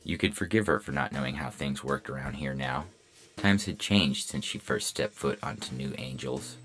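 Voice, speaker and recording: A man playing a deadpan robot police officer who narrates a hardboiled detective story in clipped phrases. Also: extremely low pitch, moderate pace, heavily acted. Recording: music bed behind the voice.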